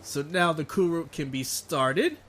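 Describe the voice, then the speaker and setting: A man's voice: on the high side, unhurried, a bit bright, slight background noise. A man from Texas giving casual let's play commentary, sounding nasal and a bit sick with a lingering cough, chatty and rambling. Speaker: gaming video host.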